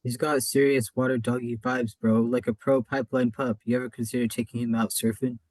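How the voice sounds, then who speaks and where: A man's voice: mid-range voice, flat delivery, a little fast, a little mellow, lightly acted, low-quality recording. A man playing a laid-back surfer dude with slangy talk in an amateur radio play. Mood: laid-back, impressed.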